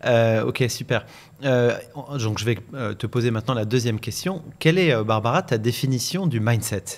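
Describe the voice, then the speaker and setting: Hesitant French podcast host, man. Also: mid-range voice. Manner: halting, many "euh" fillers, short acknowledgements. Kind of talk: podcast interview hosting.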